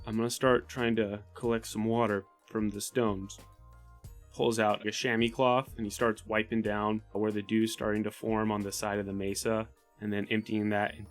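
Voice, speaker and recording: Dude rpg player, lowish voice, narrating his character kinda haltingly, faint music behind. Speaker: tabletop roleplaying player. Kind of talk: casual improvised narration of his character's actions.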